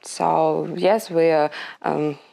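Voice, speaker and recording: Low, gravelly woman with a Ukrainian accent. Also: fairly even intonation, warm tone. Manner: halting English, many filler pauses. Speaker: interview guest, mother. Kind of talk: interview answers in a second language.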